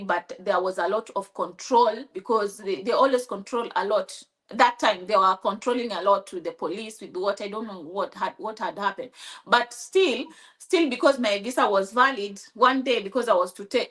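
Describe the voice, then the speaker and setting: A woman from Kenya with a Kenyan accent and Swahili as her first language, telling her story as an interview guest, halting with many restarts and acting out quoted dialogue. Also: mid-range voice, a bit bright. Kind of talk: personal storytelling in conversation.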